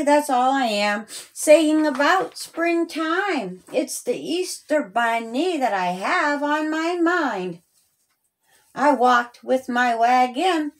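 A woman reading a rhyming children's story aloud in a deliberate, sing-song way, as a storyteller. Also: sits high.

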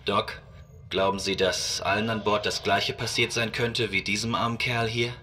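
A man's voice: lowish voice, level tone, moderate pace, sharp tone. A man playing a resolute starship captain in a sci-fi audio drama, firm and commanding.

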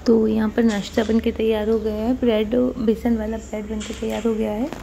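A woman's voice: fairly high pitch, fairly quick, vocal fry, loud music behind the voice. A woman in her thirties narrating a casual home vlog about household chores, chatting evenly from a room in her apartment into a phone camera. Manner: chatty, explains daily chores at an even pace. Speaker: vlogger. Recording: slightly dull phone audio with faint room noise.

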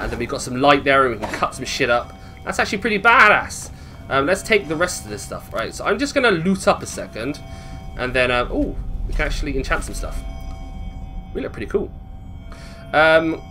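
Lively, bright-voiced man doing game commentary. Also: mid-range voice. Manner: chatty, thinking aloud, self-questioning, casual swearing. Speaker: gamer narrating a let's play. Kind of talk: casual gameplay commentary.

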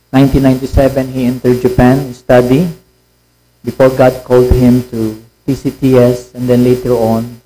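A man's voice: medium pitch, dark tone, a little hiss or hum, low-quality recording. A man, the church pastor, introducing a guest speaker from a pulpit mic in a church, reading out a biography with small uncertain asides. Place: church sanctuary. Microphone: stand mic at pulpit.

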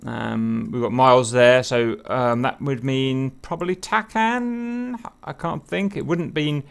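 A man's voice: medium pitch, slow pace, creaky, smooth. Man musing aloud on voice chat. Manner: thinks aloud, many fillers and wondering questions. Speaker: host leading a group discussion. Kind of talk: casual group chat over a cockpit picture.

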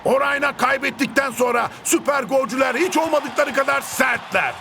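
A man playing an excitable, touchy older football commentator in a cartoon. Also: very high voice, moderate pace, creaky, music in the background. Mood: excited. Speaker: sports commentator.

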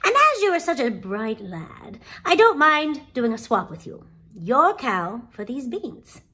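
A woman's voice: fairly high pitch, very animated, measured pace, pushed and bright, husky, heavily acted. Lady doing a sly old trader guy from a fairy tale, coaxing, lots of up and down. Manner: coaxing, persuasive. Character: sly old male trader, smoothly persuasive.

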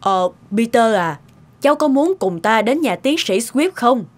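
A woman voicing a courteous, kindly and composed lady in a cartoon, with a polite, gentle manner. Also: on the high side, lively intonation, a little slow. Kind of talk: cartoon voice acting. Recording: music behind the voice.

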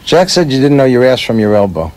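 A man acts out a middle-aged screenwriter in a radio drama. He is gruff and boozy, with a mid-pitched voice. His talk is profane, bitter and spoiling for an argument. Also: sing-song, quick pace, darkish tone, slight background noise, close to an everyday voice, poor audio. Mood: needling, surly. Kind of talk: radio play acting.

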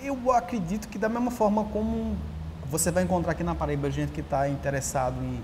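A Brazilian man in his thirties talks as an interview guest, animated and prone to restarting his sentences. He sits in a large empty auditorium, miked on his lapel. The long hall reverb lingers behind him, with a faint hiss. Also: fairly high pitch, a little fast. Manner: animated storyteller, frequent restarts. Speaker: writer and journalist, guest. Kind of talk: casual interview talk. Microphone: lapel mic.

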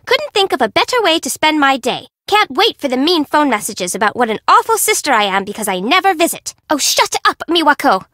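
A sassy young woman from a bento shop in a video game, pitched high with big, animated swings. She is bubbly and teasing, quick to turn sarcastic, and sounds dry and close. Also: no echo, heavily acted. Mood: sarcastic, annoyed. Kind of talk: video game character voice acting.

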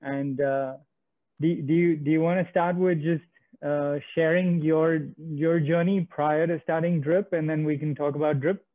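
A man's voice: on the high side, steady tone, a little slow, no echo. A man hosting an online interview, introducing his guest in an admiring way with a few fillers. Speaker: interview host. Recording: tinny dry call audio.

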